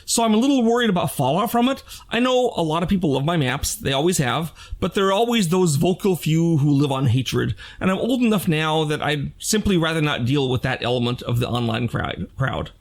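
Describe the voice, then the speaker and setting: A man's voice: fairly high pitch, lively intonation, fairly quick, few pauses. A man in his sixties talking candidly and conversationally to his fans in an opinion vlog, with emphatic delivery. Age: 60s. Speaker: game designer and video channel host.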